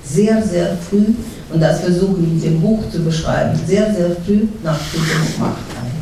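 A middle-aged woman from Russia speaking with a Russian accent, a guest author at a panel talk who pauses often with fillers and restarts. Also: fairly low pitch, dark tone. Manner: halting, many fillers and restarts. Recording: echoey hall from far off, faint noise.

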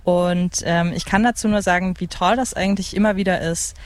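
A radio host in their thirties with a mid-pitched voice, chatting live from a music festival. The delivery is enthusiastic and casual, with hesitant moments of searching for the right word. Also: steady tone, a little fast. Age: 30s. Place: open lounge area at a festival site. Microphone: broadcast microphone.

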